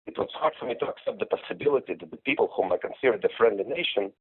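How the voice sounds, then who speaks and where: A middle-aged man from Ukraine giving measured, formal interview answers over a muffled phone call. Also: on the low side, animated, slightly rough. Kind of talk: considered, prepared interview answers.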